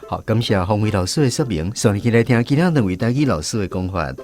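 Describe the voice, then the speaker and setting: A man's voice: fairly low pitch, lively intonation, fast talker, darkish tone, faint music behind. A man with a Taiwanese accent hosting a language quiz segment on radio, often chiming in with agreement. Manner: frequent agreeing interjections. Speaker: radio host. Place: radio studio.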